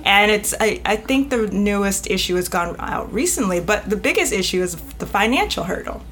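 A woman's voice: mid-range voice, animated, moderate pace, fairly bright tone. A woman guest editor talking frankly and at length in a casual podcast conversation, trailing off and restarting mid-thought.

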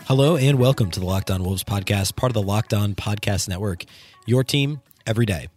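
A man with flu, sounding hoarse, hosting a solo sports podcast and talking through his analysis with lots of ums and restarts. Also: lowish voice, a little mellow, soft background music. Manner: fast rambling analysis with many ums and restarts. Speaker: podcast host.

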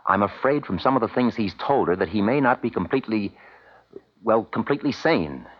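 An actor playing a weary, guarded father and war scientist in a 1950s radio drama, speaking in a measured, hesitant way. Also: on the low side, animated. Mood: uneasy, hesitant. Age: middle-aged. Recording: muffled vintage radio broadcast, faint music.

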